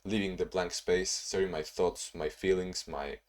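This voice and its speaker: A young man talking casually in a video diary, rambling and restarting, in a monotone way. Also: deep voice, normal speed. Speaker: vlogger.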